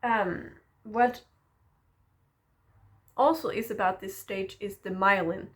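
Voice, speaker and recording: A woman talks slowly and unhurriedly as she teaches self-development to camera, her pitch sitting in the middle range. She is reflective and encouraging, pausing to check in with a gentle questioning tag. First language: Danish. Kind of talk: personal teaching monologue to camera.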